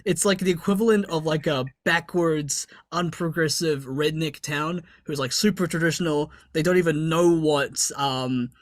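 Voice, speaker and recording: Young kiwi guy hosting a chat, kinda high voice, lots of ums and likes, dry home mic. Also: moderate pace, hardly any pauses, no room sound. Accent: New Zealand. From New Zealand. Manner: hesitant, lots of fillers, laughs between phrases. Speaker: podcast host. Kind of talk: casual interview chat.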